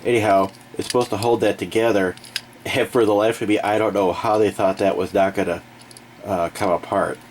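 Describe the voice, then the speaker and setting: A man's voice: on the low side, steady tone, brisk, slight background noise. A man with a cold and a stuffy nose, chatting casually and a bit aggravated as he reviews and fixes a generator in his garage. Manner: folksy, rambling, aggravated. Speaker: home DIY reviewer. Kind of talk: casual product review and repair. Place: garage indoors. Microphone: camera mic, off-screen.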